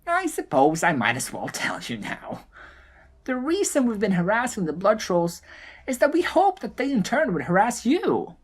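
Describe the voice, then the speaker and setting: A man voicing a cocky, mischievous gnome showman in an audio drama, theatrical and taunting with drawn-out flourishes. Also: high voice, wildly swooping pitch, voice pushed up, full character voice. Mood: sly, amused. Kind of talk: acted audio drama dialogue.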